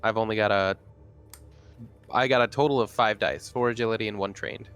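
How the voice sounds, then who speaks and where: Low-voiced man thinking aloud at game table. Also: fairly even intonation, brisk, a bit bright, clean tone. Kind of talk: casual game table chat. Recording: faint background noise.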